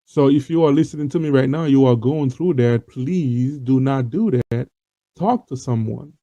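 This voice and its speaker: Haitian-accented male host, rough gravelly timbre, earnest and rambling, dull lo-fi recording. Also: medium pitch, moderate pace, dark tone, no echo. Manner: earnest, many fillers and repetitions. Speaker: host of an online talk show. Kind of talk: informal monologue to viewers.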